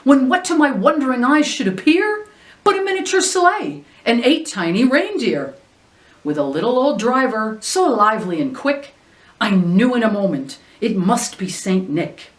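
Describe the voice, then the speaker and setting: Woman reader, lively storybook delivery with big pitch swings, recorded a bit back from the mic in a roomy space. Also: recorded from a distance. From New Jersey, US. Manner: expressive storytelling with wide swings in pitch and loudness. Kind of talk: reading a Christmas poem aloud.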